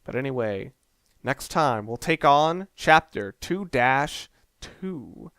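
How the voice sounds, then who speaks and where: A man's voice: medium pitch, animated, takes it slowly, a bit bright, no room sound, studio quality. A young man giving casual, rambling gameplay commentary, swearing often and poking fun at himself. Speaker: let's play commentator.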